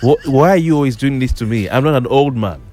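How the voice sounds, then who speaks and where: A man's voice: mid-range voice, expressive, quick pace, warm tone, soft background music. A middle-aged man hosting a morning radio talk show, chatty and teasing, with many fillers and restarts. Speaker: radio host.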